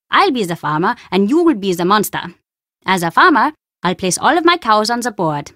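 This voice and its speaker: A woman's voice: a little high, fairly bright tone, no echo, clean studio sound. A woman voicing a mischievous, playful young boy in a video game, with a cheeky, childlike way of talking. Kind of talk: video game character acting.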